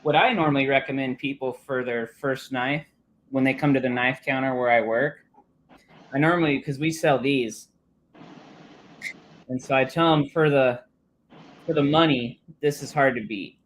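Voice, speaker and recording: A man's voice: medium pitch, normal speed, long pauses, a little hiss or hum, rough recording. A man talking casually as a guest on a live stream, speaking through swollen cheeks after dental surgery. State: swollen cheeks after wisdom teeth removal. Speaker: guest, knife counter clerk.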